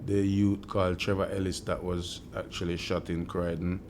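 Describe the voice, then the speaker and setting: Earnest Jamaican man, low flat voice. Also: normal speed, background noise. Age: middle-aged. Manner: earnest, reflective, with frequent ums and restarts. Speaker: community shop owner telling his life story. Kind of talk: personal storytelling interview.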